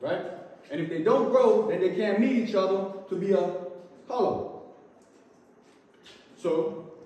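A man's voice: sits high, dark tone, slight background noise. A man working as a tour guide, telling a story to a group in short emphatic phrases, often checking they follow. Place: inside a large cave. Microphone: distant camera microphone. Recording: echoey cave, distant camera mic.

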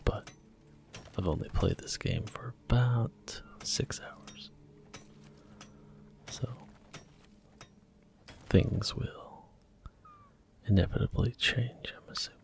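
A man softly talking through a video game in whispered ASMR style, slow and gentle. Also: mid-range voice, vocal fry. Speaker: ASMR gaming channel host. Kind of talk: soft-spoken ASMR game commentary.

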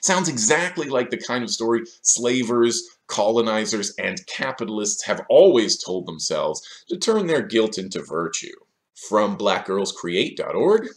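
A man in his thirties delivering scripted video essay commentary in a sardonic, questioning manner, recorded in a small room. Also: lowish voice.

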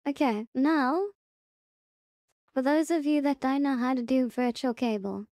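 A woman narrating a casual software tutorial, instructing step by step and repeating her reminders. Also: sits high, unhurried, dry recording, studio quality. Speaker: tutorial presenter.

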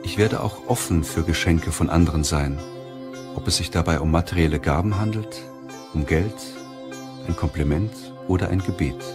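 A man narrating a self-help audiobook in a measured, deliberate way. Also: low voice, over music.

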